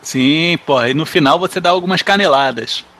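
High-pitched male voice, Brazilian accent, informal, enthusiastic, casual podcast panelist. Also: moderate pace, bright, forceful tone, slight background noise.

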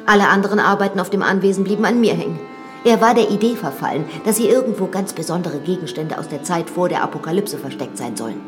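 Young widowed lady of a manor, frank and self-assured, lowish voice, reverberant radio drama with music female actor. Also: animated, fast, lightly acted. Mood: wistful, confiding. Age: young adult.

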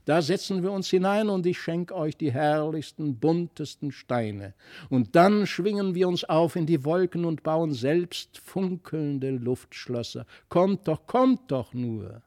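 A man voicing a gentle, loving magical child in a fairy tale, lilting and wistful. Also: on the high side, lively intonation, slow. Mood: inviting.

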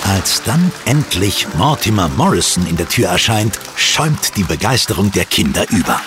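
Guy narrating a kids audio drama, lowish voice, very expressive, music behind. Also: moderate pace. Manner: expressive storytelling.

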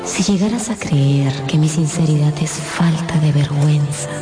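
A woman's voice: low-pitched, normal speed, music in the background, close to an everyday voice. An actress playing an impulsive, naive young woman in love in a radio novel, dreamy, sighing and melodramatic. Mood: passionate.

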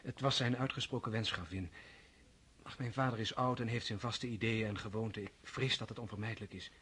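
A man's voice: lowish voice, fairly even intonation, a little fast, a bit bright, slightly rough. An actor playing an earnest, formal young nobleman asking for a girl's hand in a radio play.

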